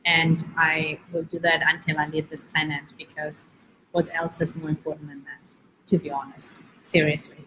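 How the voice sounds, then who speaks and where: A woman's voice: sits low, little pitch movement, bright, forceful tone, a little hiss or hum, rough recording. Earnest coach woman from Spain. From Spain, raised in Germany. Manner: earnest, encouraging, uneven pace with drawn-out words. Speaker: life coach. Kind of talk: live-streamed motivational talk.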